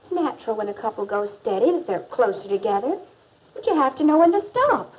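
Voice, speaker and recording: A woman's voice: on the high side, sing-song, fairly quick, background noise. An American woman acting as an assured teenage girl confidently advising her friend in a 1950s educational film. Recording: dull, muffled 1950s film soundtrack.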